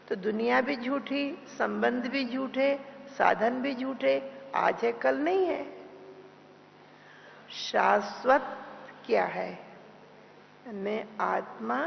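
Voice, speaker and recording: Woman, spiritual teacher, heavily gravelly voice, devotional discourse, deliberate repetition, muffled reverberant hall recording with hum and noise. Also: a little high. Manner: deliberate, with pauses and repeated phrases. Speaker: spiritual teacher giving a class. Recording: dull, noisy, humming hall recording.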